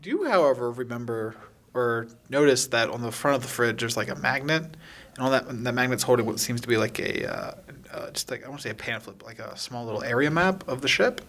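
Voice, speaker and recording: A man runs a tabletop game in a medium-pitched voice. He narrates casually, piecing descriptions together haltingly as he goes. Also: lively intonation, fairly bright tone, slight background noise. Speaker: game master of a tabletop podcast.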